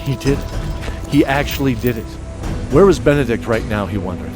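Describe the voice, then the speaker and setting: A man's voice: mid-range voice, lively intonation, fairly quick. A man narrating a scripted fantasy story with dramatic, descriptive storytelling. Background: music.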